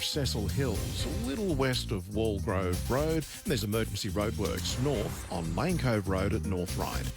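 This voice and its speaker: An Australian man reads a radio traffic bulletin over a clear music bed. His voice is fairly low and his delivery is that of a scripted report. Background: loud music bed.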